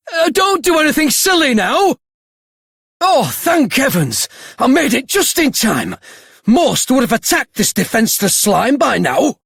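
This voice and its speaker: An actor playing a genial, self-important, eccentric older monster caretaker in a video game, with a husky voice. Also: male voice, very high voice, dry recording, studio quality, heavily acted. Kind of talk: theatrical video game voice acting.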